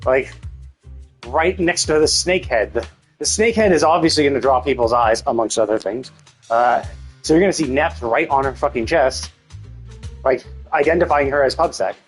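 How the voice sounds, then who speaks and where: A man's voice: medium pitch, normal speed, breathy edge, soft background music. A man, live streaming casual game commentary in an informal, profane, explanatory and opinionated way.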